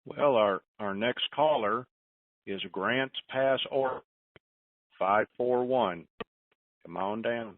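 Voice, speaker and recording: A man hosts a call-in conference in a folksy, informal style. His voice sits at a middle pitch and comes over a narrow phone line, a little away from the mic, with very little room sound. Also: takes it slowly, long pauses, no room sound, distant mic. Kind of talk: informal call-in talk with advice. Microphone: telephone conference line.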